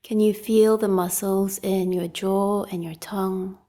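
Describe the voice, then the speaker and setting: A woman guides a meditation in a very slow, relaxed voice. She is calm and gentle, leaving long pauses between instructions. Her soft tone thins to a slight breathiness as phrases end, with a mellow, warm colour throughout. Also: mid-range voice. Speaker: meditation teacher leading a guided practice.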